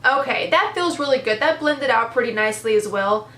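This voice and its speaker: A woman's voice: fairly high pitch, a little fast, pushed and bright, echoey room. A young woman with a congested, stuffed-up voice, chatting enthusiastically as she reviews makeup. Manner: chatty, enthusiastic, lots of "super" and "very". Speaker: beauty vlogger. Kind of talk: casual product review chat.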